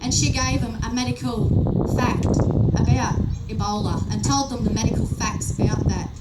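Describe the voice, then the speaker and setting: Middle-aged aussie woman giving a halting emotional speech outdoors, echoey pa sound with loud noise behind. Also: fairly high pitch, steady tone, recorded from a distance. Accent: Australian. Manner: emotional, plain-spoken, halting with fillers. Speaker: grieving mother speaking at a rally. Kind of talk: public rally speech. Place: outdoor showgrounds rally.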